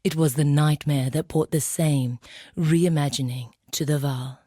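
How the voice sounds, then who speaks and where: A woman's voice: steady tone, a little slow, no room sound, clean studio sound. A woman voicing a serene, morbid necromancer in a video game, speaking in a formal, theatrical way with reverence for death. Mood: ominous, entranced. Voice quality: low, dark, breathy, often sinking to a whisper. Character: serene, morbid female necromancer and self-styled death artist. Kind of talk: video game character monologue.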